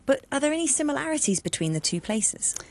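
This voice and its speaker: A woman's voice: sits high, big pitch swings, quick pace, a little mellow. A woman hosting an interview, attentive, asking questions and murmuring encouragement. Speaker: interview host.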